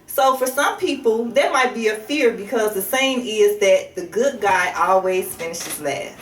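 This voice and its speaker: A middle-aged American woman from Chicago hosting a radio show, talking casually and motivationally to listeners in a halting, deliberate way. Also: mid-range voice, animated. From Chicago, United States. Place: small radio studio. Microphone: studio microphone on desk. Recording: roomy, distant mic, faint background noise.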